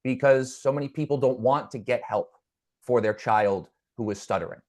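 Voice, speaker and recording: A man's voice: mid-range voice, moderate pace, darkish tone. Earnest man with a stutter. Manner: stutters with repeated words; reflective, earnest storytelling. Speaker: podcast guest. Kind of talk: conversational interview.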